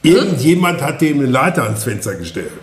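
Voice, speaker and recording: A man playing a gruff, harried villager. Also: mid-range voice, sing-song, brisk, reverberant, close to an everyday voice. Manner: gruff, exasperated.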